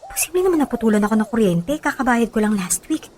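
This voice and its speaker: A woman's voice: a little high, sing-song, quick pace, background noise. An actress playing a nervous, chatty young woman doctor in a radio drama, exclaiming and talking to herself. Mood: nervous, fretting. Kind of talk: Filipino radio drama acting.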